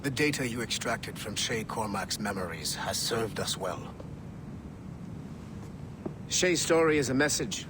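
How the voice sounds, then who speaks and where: A cold, commanding corporate operative talks in a fairly low voice. The delivery is measured and imperious, like a game villain, with clear rumbling noise behind it. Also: male voice, expressive. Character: cold, authoritative male corporate Templar operative. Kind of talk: dramatic video game acting.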